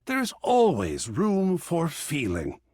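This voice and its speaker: A man voicing an excitable, cultured art curator character in a video game, theatrical and erudite, with precise diction and a husky, breathy voice. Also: fairly high pitch, slow pace, dry recording, studio quality, full character voice. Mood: excited, wistful. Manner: effusive, erudite, precisely enunciated, dramatic. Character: excitable, cultured art object come to life, gallery curator.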